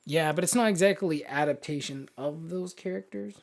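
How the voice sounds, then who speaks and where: A man with a voice pitched a little above average speaks as a podcast co-host. He discusses things in a casual, opinionated way. His delivery is informal and emphatic, often repeating words to press a point. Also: moderate pace, vocal fry.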